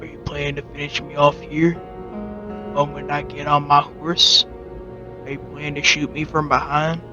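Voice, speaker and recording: An actor in an anime-style fan dub playing a tense, suspicious male rival with a guarded, deliberate delivery. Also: on the high side, monotone, lo-fi sound, music in the background, natural voice. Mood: wary, challenging.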